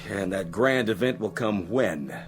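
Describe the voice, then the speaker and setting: An actor playing a sour, sarcastic spaceship co-pilot in an audio drama, complaining in slangy, needling talk. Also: male voice, fairly low pitch, lively intonation, unhurried, over music. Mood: sarcastic, griping.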